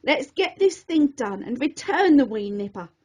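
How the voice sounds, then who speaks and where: A woman voicing a nervous, excitable young male student in a rhyming storybook, jumpy and breathless, slightly dull recording. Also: sits high, moderate pace. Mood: chipper.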